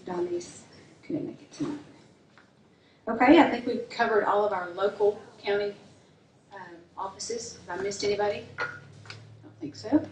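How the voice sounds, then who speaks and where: A woman's voice: mid-range voice, moderate pace, long pauses, darkish tone. A woman moderating a forum in a community hall, casually calling out names and checking who is there. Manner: casual, checks the room with questions. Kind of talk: announcing speakers. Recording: echoey hall from far off, clear background noise.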